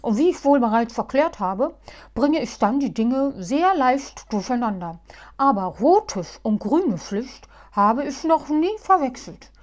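A woman voicing a calm, strict little male gnome who explains things in a measured way. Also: high voice, pitched above the performer's own voice. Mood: stern.

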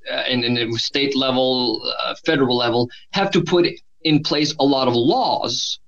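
A man with a Norwegian accent talks things through in a measured, reflective way, often restarting his sentences. His voice sits at an ordinary pitch. The recording sounds slightly dull and lo-fi. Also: a bit bright. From Norway. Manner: thoughtful, measured, with frequent restarts. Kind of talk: conversational podcast discussion.

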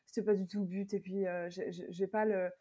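Young woman from Belgium, medium pitch. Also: level tone, a little fast, dark tone, slightly rough. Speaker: interview guest, author. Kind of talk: casual interview chat.

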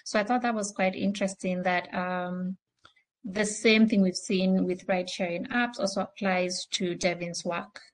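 A woman's voice: mid-range voice, steady tone, normal speed. East African woman explaining as a panelist. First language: an East African native language. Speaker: panelist, transport nonprofit leader. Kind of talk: panel discussion, explanatory answers.

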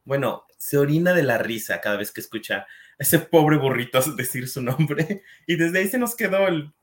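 A man's voice: fairly high pitch, lively intonation, moderate pace. Mexican man chatting in a casual, anecdotal way. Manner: anecdotal, conversational. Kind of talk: casual chat.

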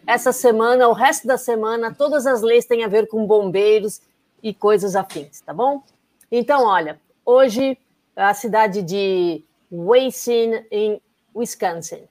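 Playful woman correspondent on a video call. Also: medium pitch. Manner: playful, teasing, with restarts. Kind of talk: casual chat presenting a segment. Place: home apartment room.

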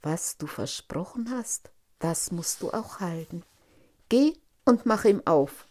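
Fairy tale king, read by a female storyteller: fatherly, stern, measured, mellow tone. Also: lowish voice, lively intonation. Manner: firm, measured. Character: stern fatherly old king, firm and questioning.

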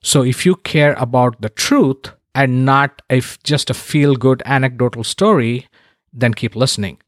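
A man from India hosts his own podcast in an earnest, emphatic monologue with the odd wry aside. His voice sits at an ordinary middle pitch. It is recorded close on the mic in a dry, deadened space. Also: unhurried, dry recording. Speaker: podcast host.

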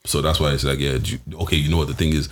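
A man's voice: very deep voice, fairly even intonation, rapid-fire. A man co-hosting a podcast in casual chat, with choppy speech that jumps from point to point. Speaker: podcast co-host.

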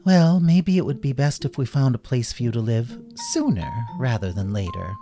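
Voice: mid-range voice, animated, normal speed, music in the background, voice pushed up, close to an everyday voice. A performer voicing a practical, frowning friend in a children's story, matter-of-fact and firm.

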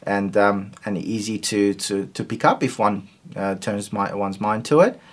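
Hesitant low-voiced man, Turkish native. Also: measured pace. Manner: hesitant, many ums and restarts. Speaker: guest. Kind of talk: conversational interview.

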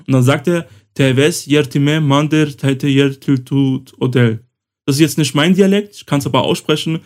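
A man hosting a casual talk, explaining at length with frequent hesitations; his first language is Romani. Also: fairly high pitch. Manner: explains slowly with many fillers. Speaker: host. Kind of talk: casual podcast-style explainer.

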